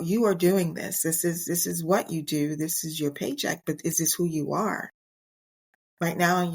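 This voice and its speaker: A middle-aged woman hosting a motivational podcast on her own, speaking earnestly and emphatically in short, deliberate phrases. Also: low voice, vocal fry. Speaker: podcast host. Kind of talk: motivational solo podcast talk.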